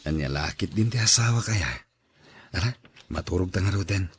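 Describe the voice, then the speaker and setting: A man acting as a contrite husband in an audio drama, with a lowish voice that is extremely husky and rough. He is an unfaithful spouse. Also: lively intonation, brisk, heavily acted. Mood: sleepy, brushing off.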